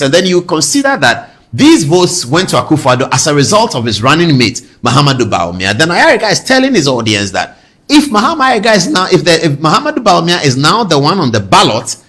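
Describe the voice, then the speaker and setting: A man's voice: on the high side, very animated, fast talker, a bit bright. A middle-aged man with a Ghanaian accent hosting a political talk show, telling things conversationally with emphatic repetition and rhetorical questions. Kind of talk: conversational political commentary to viewers.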